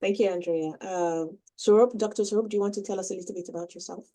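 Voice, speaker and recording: A moderator opening an online seminar on a video call, friendly, with many fillers. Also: male voice, high voice, fairly even intonation, fast talker, dark tone. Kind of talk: webinar moderation.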